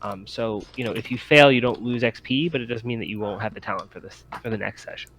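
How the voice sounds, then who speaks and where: A man's voice: on the low side, fast, a bit bright, low-quality recording. A man running a tabletop game as game master, casually explaining rules with a hesitant, stammering delivery full of restarts.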